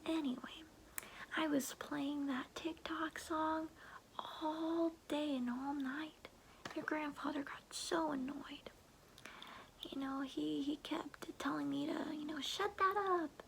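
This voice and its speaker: A woman voicing a chatty, doting grandmother in a soothing, breathy ASMR roleplay whisper, rambling in a folksy way. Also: sits high, fairly even intonation, slow, a little hiss or hum, heavily acted, low-quality recording. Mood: chatty, confiding.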